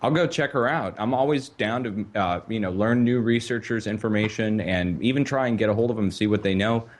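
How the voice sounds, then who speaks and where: A man's voice: lowish voice, brisk. A man hosting a call-in show, talking earnestly and emphatically, asking rhetorical questions. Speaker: radio show host.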